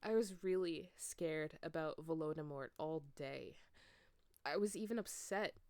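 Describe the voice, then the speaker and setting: A woman's voice: low voice, unhurried, darkish tone, no room sound. A woman reading fan fiction aloud in a theatrical amateur storytelling style. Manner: theatrical, acts out dialogue.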